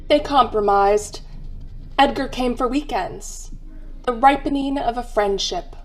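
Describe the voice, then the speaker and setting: A woman with a high-pitched voice narrates a radio mystery. Her delivery is measured, knowing and wry, with faint music behind her. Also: low-quality recording.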